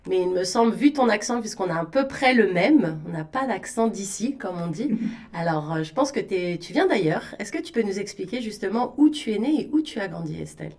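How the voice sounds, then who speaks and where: A woman's voice: mid-range voice, animated, fairly quick, a little mellow. A woman from France with a Parisian accent, hosting a radio interview and asking chatty, friendly questions. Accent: Parisian French. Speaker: radio host.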